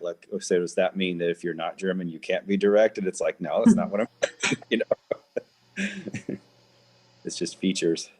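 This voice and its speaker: A man's voice: low voice, a little mellow, slightly rough. A man who works as a teacher asks questions in a discussion, circling around his point and searching for words. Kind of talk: panel discussion.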